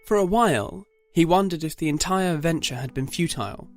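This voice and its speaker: A man's voice: on the high side, animated, fairly quick, faint music behind. A man narrating a scripted dramatic story.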